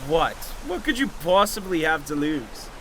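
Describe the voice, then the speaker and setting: An actor playing an excitable, hot-tempered deckhand who is chatty and quick to take offence, in an audio drama. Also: male voice, on the high side, background noise. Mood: indignant. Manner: chatty, indignant, exclamatory.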